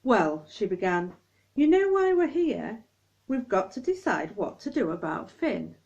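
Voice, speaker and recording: A woman reading a children's story aloud expressively, acting out the dialogue with lively emphasis. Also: mid-range voice, measured pace, lo-fi sound.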